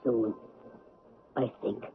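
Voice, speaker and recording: A quiet woman confidante, speaking in short, insistent prompts. The delivery is vintage 1970s radio acting, heard through a narrow, muffled broadcast sound. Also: extremely slow, over loud music. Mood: gentle. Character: Hannah, quiet woman listener gently urging a confession.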